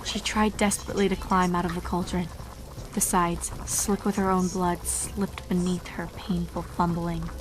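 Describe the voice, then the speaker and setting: A woman's voice: on the low side, flat delivery, normal speed, background noise. A woman reading horror fan fiction as a dramatic scripted narration.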